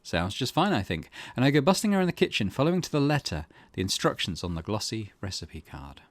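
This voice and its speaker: A man's voice: on the low side, sing-song, a little fast, dry recording. A man hosting a let's play, reading game text aloud and commenting on it in a thoughtful, musing way.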